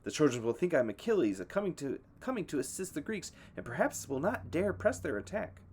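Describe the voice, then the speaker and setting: A man's voice: a little high, very animated, brisk, a little mellow, slightly rough, voice pushed up. A man voicing a distraught young male squire who implores his lord. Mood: pleading.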